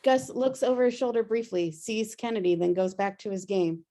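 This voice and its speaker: Woman reading out screenplay directions on a table read, average pitch. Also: normal speed, warm tone. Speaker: stage directions reader. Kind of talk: scripted narration at a table read. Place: remote video call.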